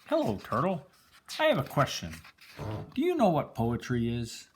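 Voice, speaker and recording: A man's voice: mid-range voice, wildly swooping pitch, normal speed, slightly rough. An older man voicing a curious, polite young boy from a picture book, asking questions.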